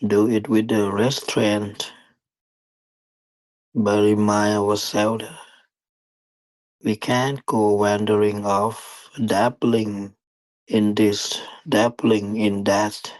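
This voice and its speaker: Slow, low-voiced man guiding meditation. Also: little pitch movement, darkish tone, no echo, low-quality recording. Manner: deliberate, halting phrasing with long pauses. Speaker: meditation teacher. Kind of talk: guided meditation dharma talk.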